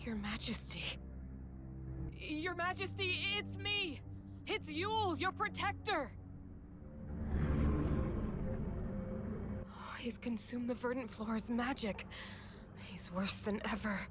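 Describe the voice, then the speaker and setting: An actress voicing a loyal, duty-bound royal knight in a dramatic video game, speaking with an earnest, solemn delivery. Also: female voice, sits high, big pitch swings, measured pace. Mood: urgent, pleading. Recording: loud dramatic music behind voice.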